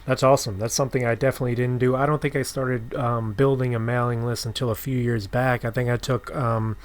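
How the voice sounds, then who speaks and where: A man's voice: medium pitch, little pitch movement, fast. A man speaking as a podcast host in a casual interview chat.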